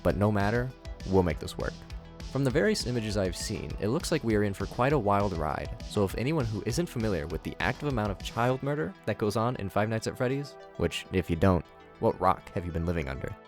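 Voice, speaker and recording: A man's voice: lowish voice, big pitch swings, a little fast. A man giving scripted video commentary as the host of the show. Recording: music under the voice. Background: background music.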